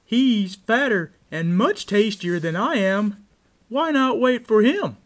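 A man's voice: high voice, animated, slow, pitched above the performer's own voice. A man voicing a pleading, persuasive young billy goat in a children's story.

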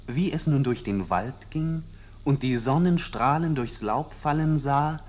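A man narrating a scripted fairy tale as storyteller, in a measured and expressive manner. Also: medium pitch.

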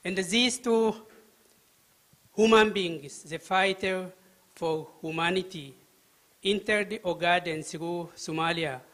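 Earnest older man from Ethiopia, slow and high. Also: fairly bright tone. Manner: halting, many filler sounds, earnest and pressing. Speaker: audience member asking a question. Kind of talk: public question from the floor. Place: vaulted conference hall with audience. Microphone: handheld microphone.

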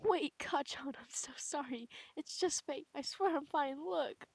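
A woman's voice: high-pitched, unhurried, vocal fry, dry recording, voice pushed up. A woman voicing a timid, earnest, nerdy teenage boy in an amateur anime comic dub, hesitant and pleading. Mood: anxious, pleading.

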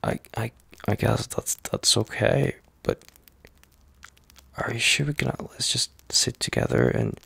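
A man playing a tender, anxious young boyfriend in a roleplay ASMR audio, husky and halting in his speech. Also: mid-range voice, no room sound, full character voice. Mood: hesitant. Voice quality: husky, gravelly. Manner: halting, hesitant, soft-spoken, sighing.

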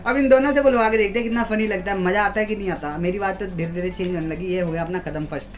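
A man's voice: high-pitched, steady tone, very fast. A young man from India hosting a casual challenge vlog, chatting to viewers in a small room at home. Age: young adult. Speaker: vlog host.

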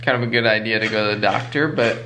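A man's voice: fairly low pitch, fast, over music. A man in his thirties, a vlog co-host, chatting casually and a bit ramblingly at his kitchen table. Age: 30s. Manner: relaxed, rambling, restarts his sentences. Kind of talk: casual chat.

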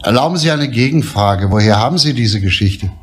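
An actor playing a middle-aged male chief police inspector who talks in a calm, grave, measured way in an old TV crime drama. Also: noisy background, lightly acted. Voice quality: low, husky, measured. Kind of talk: 1970s TV crime drama dialogue.

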